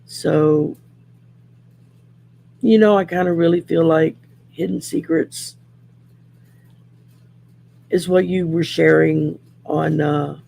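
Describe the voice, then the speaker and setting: A middle-aged woman with a growly, gravelly voice from throat surgery, chatting casually on a livestream while pulling tarot cards, rambling and restarting often. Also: low voice, fairly even intonation, slow, pauses often, warm tone. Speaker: host and tarot card reader. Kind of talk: casual livestream chat with card reading.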